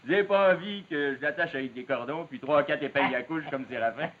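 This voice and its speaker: A man's voice: a little high, a little fast, close to an everyday voice. Man playing brash Quebec logger, muffled old TV sound. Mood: easygoing. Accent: Quebec French. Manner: boastful, folksy rural speech. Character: brash, openhanded Quebec logging man in love. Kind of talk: 1950s television period drama acting. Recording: muffled, hissy old 1950s television soundtrack.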